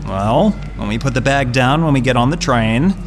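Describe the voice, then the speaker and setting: A man's voice: mid-range voice, expressive, normal speed, lightly acted. A man playing a weary but reassuring middle-aged father in an audio drama. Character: weary, reassuring father fleeing with his son. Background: music.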